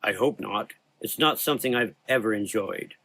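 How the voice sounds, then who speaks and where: A man playing a frail, weary old monster hunter in a gothic audio drama, solemn, restrained and quietly menacing. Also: fairly low pitch, normal speed, gravelly, full character voice, low-quality recording. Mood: calm.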